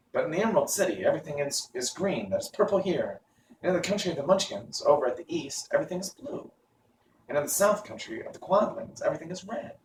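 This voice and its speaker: A man's voice: on the high side, pitched above the performer's own voice. Man acting a plucky boy, voice lifted. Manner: confident, explanatory, proud. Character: plucky, self-assured young farm boy. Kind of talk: children's storybook character voice. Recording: roomy, noisy, distant microphone.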